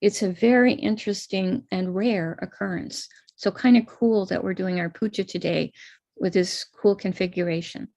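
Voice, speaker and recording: A woman's voice: mid-range voice, steady tone, moderate pace, vocal fry, a little mellow, dry recording. An American woman, an astrologer, patiently talking viewers through a chart step by step before leading a live-streamed ritual.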